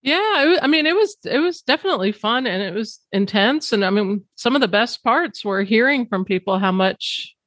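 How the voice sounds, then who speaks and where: A woman's voice: on the high side, animated, normal speed, few pauses, a bit bright, no room sound. A middle-aged woman hosting a podcast, talking casually with a business partner and often laughing mid-sentence. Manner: laughs often while talking, loose and chatty. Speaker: podcast host. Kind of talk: casual conversation.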